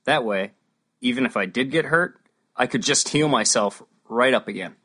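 A woman voicing a young male squire in an audiobook, nervous and earnest, with a husky voice. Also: a bass voice, lively intonation, normal speed, fairly bright tone, pitched below the performer's own voice, full character voice. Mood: putting on bravery.

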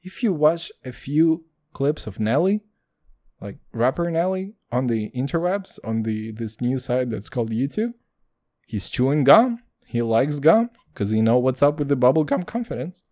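A man riffing alone on his podcast with a lively, swooping pitch that rises and falls through every sentence. He swears freely and rambles, keeps calling his listeners dude, and sips a beer between thoughts. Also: moderate pace. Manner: animated, profane, rambling, addresses listeners as dude. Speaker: podcast host. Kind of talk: casual solo podcast monologue.

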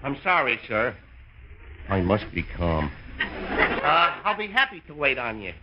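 An actor playing a nervous, stammering store clerk who barely keeps calm, in a 1950s radio comedy. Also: male voice, medium pitch, a little slow. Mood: flustered, strained calm. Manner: stammering, nervous, flustered hesitations. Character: jittery, long-suffering male store clerk, barely keeping calm. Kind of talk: 1950s old time radio comedy acting. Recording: very noisy, muffled old radio recording.

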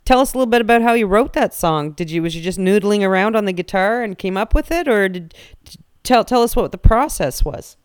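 A woman hosting a casual radio show, chatty and animated, laughing often. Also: mid-range voice, a little fast, clean tone, no echo, studio quality.

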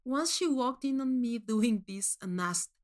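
A woman narrating a first-person short story in an expressive, measured storytelling manner. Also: on the high side.

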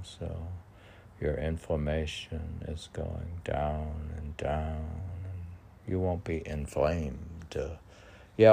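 Very deep, gravelly, slow-talking man. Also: mellow. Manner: musing, self-questioning, halting. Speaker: poet reading and commenting on own writing. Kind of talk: rambling solo monologue mixed with short aphoristic poem lines.

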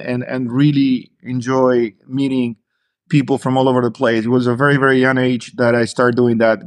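A middle-aged man from Argentina, answering as a podcast guest in a hesitant way, full of fillers and restarts. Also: medium pitch. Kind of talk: casual interview talk.

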